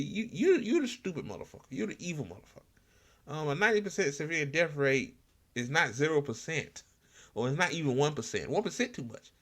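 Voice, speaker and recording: A man hosting an informal political talk show, speaking in a blunt, sarcastic, profanity-heavy way with halting repetition. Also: a little high, a bit bright. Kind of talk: informal political rant with commentary.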